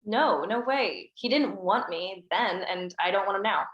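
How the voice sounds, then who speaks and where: A woman's voice: medium pitch, animated, measured pace, lo-fi sound, lightly acted. A woman at a script table read playing a guarded, pessimistic teenage girl who speaks in a subdued way. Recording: roomy echo.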